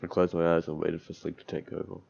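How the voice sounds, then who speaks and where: A man's voice: sits low, monotone, fast talker, creaky, a little mellow. A man streaming a visual novel, reading its text aloud and adding crude, sardonic, profane commentary. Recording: dull lo-fi mic, music behind. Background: game music.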